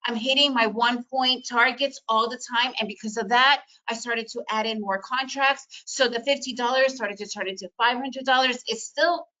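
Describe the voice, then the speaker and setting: A middle-aged woman from the former Soviet Union, a native Russian speaker, giving an energetic, emphatic webinar talk on trading. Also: on the high side, moderate pace, few pauses, muffled recording. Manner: energetic, emphatic, repetitive phrasing. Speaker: trading educator. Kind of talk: webinar presentation.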